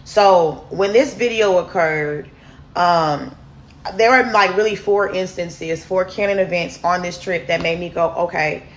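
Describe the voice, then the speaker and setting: Deep-voiced woman telling a storytime. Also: a little slow. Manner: candid, chatty, addresses viewers as y'all. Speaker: storyteller host. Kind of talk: casual storytime vlog.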